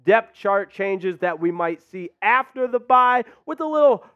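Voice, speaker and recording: A man's voice: sits high, expressive, unhurried, clear, resonant tone, lo-fi sound. A man hosting a live sports chat show, upbeat and hyped, reading out viewer comments. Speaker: podcast host.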